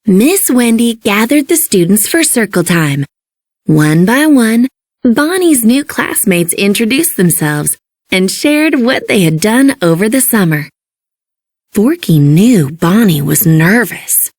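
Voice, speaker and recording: A woman reading a children's storybook as an expressive narrator. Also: medium pitch, slow pace, no echo, clean studio sound.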